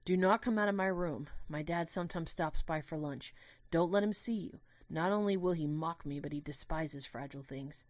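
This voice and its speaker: A woman's voice: deep voice, moderate pace, dry recording, close to an everyday voice. A woman voicing a guarded, brooding teenage boy in an audio drama, earnest and emotional. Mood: anxious, protective.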